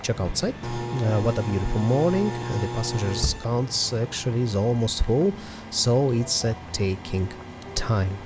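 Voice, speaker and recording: A man's voice: mid-range voice, expressive, measured pace, over loud music. A man hosting a flight simulator video, giving methodical step-by-step commentary in a hesitant delivery.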